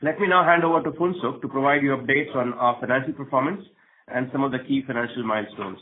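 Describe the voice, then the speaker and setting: A man's voice: medium pitch, fast talker. A man formally reading prepared remarks as a company executive on an earnings call. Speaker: company executive presenting quarterly results. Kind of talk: scripted earnings call presentation. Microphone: conference call phone line. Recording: narrow tinny phone line.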